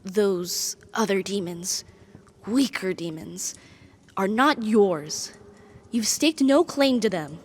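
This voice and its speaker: A woman voicing a proud, possessive, lovesick female demon in an ASMR roleplay, speaking in formal, deliberate, dramatic phrases. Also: mid-range voice, breathy edge. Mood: jealous, possessive. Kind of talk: ASMR romantic audio roleplay.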